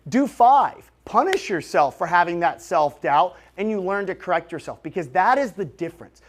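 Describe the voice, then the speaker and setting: A man's voice: high-pitched, expressive, a little fast. A man in his late thirties giving an emphatic, direct motivational monologue to his audience, coaching them like a business vlogger. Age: late 30s.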